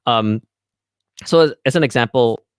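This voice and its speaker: A man's voice: mid-range voice, normal speed, clean tone, no echo. A man from Malaysia, a guest on a podcast, who answers thoughtfully with frequent ums and restarts. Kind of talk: casual interview chat.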